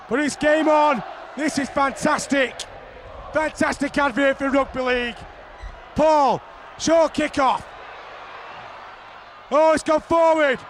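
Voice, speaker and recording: A man giving excited play-by-play commentary on a rugby league match, often shouting over the action. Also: very high voice. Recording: archive broadcast with crowd noise.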